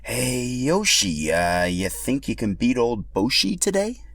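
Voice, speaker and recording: A man voicing a secretive, supportive female dinosaur in a storybook tale, hushed and conspiratorial. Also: mid-range voice, sing-song, a little slow, dry recording, clean studio sound, heavily acted.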